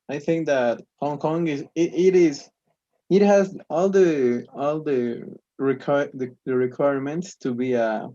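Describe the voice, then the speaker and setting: A man's voice: medium pitch, mellow, lo-fi sound. A man practising conversation as a student, asking curious questions and repeating words as he searches for them. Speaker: language student.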